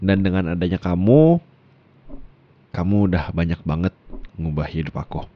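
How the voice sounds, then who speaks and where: A man's voice: deep voice, expressive, slow, muffled recording, exaggerated voice. A young man playing an affectionate, teasing boyfriend in an ASMR roleplay, laughing as he talks. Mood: playful.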